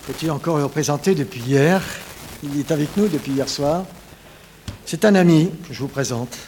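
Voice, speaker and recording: A man introducing a guest speaker to a congregation in a warm, joking way. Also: on the high side, normal speed, a little hiss or hum. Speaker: church leader introducing a speaker. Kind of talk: friendly introduction.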